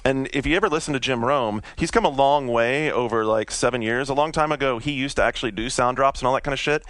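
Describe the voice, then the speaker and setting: A man hosting a podcast in casual chat, rambling and relaxed as he steers the conversation. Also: mid-range voice, quick pace, no room sound. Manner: relaxed, rambling, leads the discussion. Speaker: podcast host.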